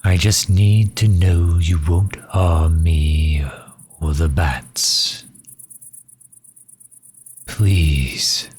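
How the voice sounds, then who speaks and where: A man playing a courteous, weary vampire leader in an audio roleplay, pleading in formal, measured, old-fashioned words with a hushed, breathy voice. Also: deep voice, fairly even intonation, heavily acted. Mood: earnest, pleading. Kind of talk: scripted ASMR roleplay acting.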